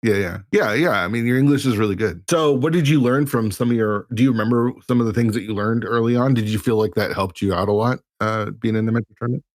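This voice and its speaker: A man hosting a casual podcast interview, relaxed and encouraging, often repeating words. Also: fairly low pitch, fast, hardly any pauses, dry recording, clean studio sound. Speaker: podcast host.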